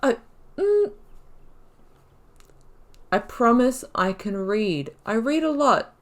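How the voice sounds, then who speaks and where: A woman's voice: fairly high pitch, sing-song, takes it slowly. A woman giving casual, self-deprecating commentary as she plays a video game.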